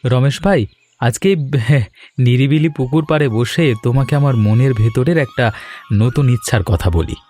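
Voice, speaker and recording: A man voicing a polite, good-natured young village husband in an animated Bengali family story, earnest and deliberate. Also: medium pitch, close to an everyday voice. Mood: thoughtful.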